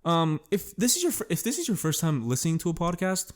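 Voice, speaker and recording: A man's voice: on the high side, wildly swooping pitch, fast. A young man hosting a solo podcast, rambling in a self-conscious, casual way and swearing often. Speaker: podcast host.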